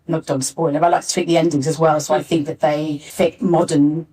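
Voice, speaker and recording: A woman talking informally on a discussion panel, in halting phrases with repeated words. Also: deep voice, level tone, warm tone, breathy edge. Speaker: panel speaker, pantomime scriptwriter. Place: arts centre venue, live panel. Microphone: distant backup recorder. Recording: distant mic backup live recording.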